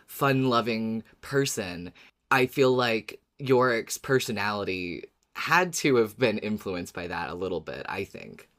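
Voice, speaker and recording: A man's voice: on the low side, a bit bright. Man explaining in casual podcast chat. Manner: explains at length, laughs easily. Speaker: podcast co-host.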